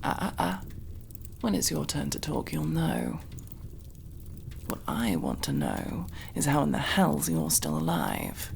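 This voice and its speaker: A woman playing a mocking, menacing elf interrogator in an ASMR roleplay, gravelly, drawing out taunts and dropping into breathy whispers. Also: sits low, wildly swooping pitch, measured pace, a little mellow, slight background noise, exaggerated voice. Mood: taunting, threatening.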